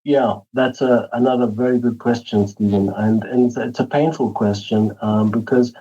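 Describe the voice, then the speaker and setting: A man speaks as a guest professor, earnest and discursive, often searching for the right word. His voice is mellow and slightly husky. The call audio is dull and lo-fi. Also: medium pitch, steady tone, normal speed. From England. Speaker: guest professor of English literature. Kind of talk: interview answer.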